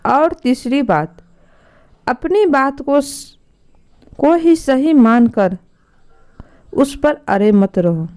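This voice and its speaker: A woman voicing a wise, patient king who instructs his sons with measured authority in a storybook tale. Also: high voice, lively intonation, a little mellow, pitched above the performer's own voice, heavily acted. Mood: calm, advising.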